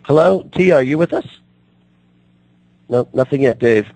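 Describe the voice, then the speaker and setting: A man hosting a radio talk show, his voice still recovering from being lost, talking haltingly with many fillers and restarts. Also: medium pitch, expressive, creaky, warm tone, no echo, low-quality recording.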